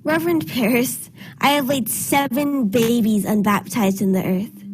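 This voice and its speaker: Music plays clearly beneath a woman acting a grieving Puritan mother with a fairly high voice. She is morbid and superstitious, delivering archaic lines with ominous intensity in a period radio drama. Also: fairly even intonation, unhurried, a little mellow, lightly acted, poor audio. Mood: grieving, insistent.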